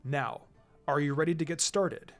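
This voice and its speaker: A narrator voicing a calm adult male ninja teacher with a measured, instructive and dryly teasing manner in a fan fiction reading. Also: mid-range voice, soft background music, voice pushed down, natural voice.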